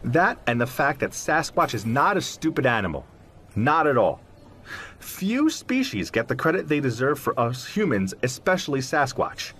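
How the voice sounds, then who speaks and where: A man's voice: medium pitch, big pitch swings, normal speed, a bit bright, a little hiss or hum. A man playing an articulate, self-assured zoologist explaining his field in an audio drama interview, in an emphatic, professorial manner. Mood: earnest, lecturing. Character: articulate, self-assured male zoologist who believes in Sasquatch. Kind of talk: audio drama interview, scripted but conversational.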